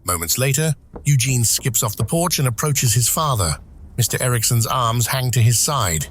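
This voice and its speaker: A man reading dramatic scripted narration for an audio drama, with measured, deliberate phrasing. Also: medium pitch, lively intonation, a little hiss or hum. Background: music.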